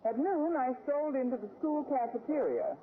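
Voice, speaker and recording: A woman's voice: high-pitched, expressive, moderate pace. An actress playing a sardonic woman schoolteacher with dry, wisecracking delivery in an old radio sitcom. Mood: wry narration. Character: sardonic, lovelorn woman schoolteacher with quick comebacks. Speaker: lead actress and narrator. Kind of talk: 1940s-50s radio sitcom acting. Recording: tinny, very noisy vintage radio recording.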